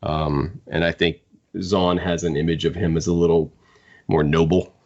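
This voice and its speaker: A man with a very low voice chats as a podcast panelist. He thinks aloud haltingly, doubling back on words. The audio is a bit dull. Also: little pitch movement, darkish tone. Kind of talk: casual discussion.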